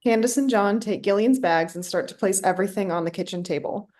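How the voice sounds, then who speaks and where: Woman reading stage directions, mid-pitched. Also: fairly quick, darkish tone. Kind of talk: scripted narration.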